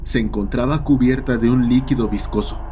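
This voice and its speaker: A man's voice: mid-range voice, steady tone, a little fast, dark tone, a little hiss or hum. A man reading a scripted horror story as its narrator.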